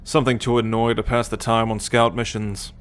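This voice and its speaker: A man's voice: medium pitch, little pitch movement, brisk, smooth, lightly acted. A man voicing a cocky, teasing alien military scout and possessive captor in an ASMR roleplay, boastful and sardonic with slangy swearing. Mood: boastful, defensive. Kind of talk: ASMR roleplay acting addressed to the listener.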